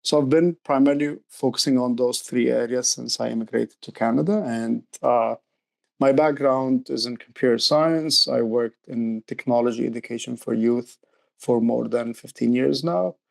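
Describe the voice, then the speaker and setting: Middle aged guy, bit gravelly, explaining stuff earnestly in an interview. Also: medium pitch, darkish tone. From Egypt. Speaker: youth program director, interview guest.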